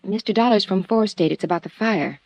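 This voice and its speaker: A woman playing a meek, put-upon secretary, quiet and deferential, in a 1950s radio drama. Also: mid-range voice, brisk, no echo, natural voice. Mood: timid, polite. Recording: dull vintage radio recording.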